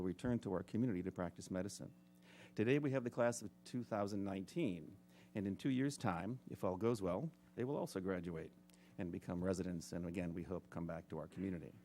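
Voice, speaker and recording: Low-voiced man introducing students. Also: fast talker, mellow, a little hiss or hum. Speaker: medical school program official. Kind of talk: formal meeting introduction.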